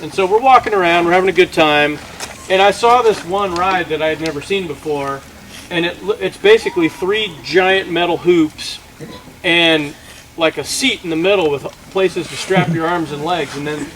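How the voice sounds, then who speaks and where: A middle-aged man telling an informal comic story to a group, acting out the dialogue in an animated way. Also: on the high side, normal speed. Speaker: campfire storyteller. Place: outdoors among a gathered crowd. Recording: noisy outdoor recording with audience chatter. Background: audience sounds, outdoor ambience.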